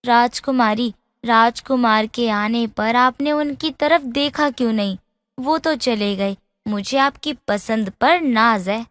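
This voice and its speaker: A woman's voice: fairly high pitch, slow, a bit bright, no echo, clean studio sound, in a large hall. A woman playing a princess's loyal young friend in a fairy tale, forthright and protective. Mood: curious.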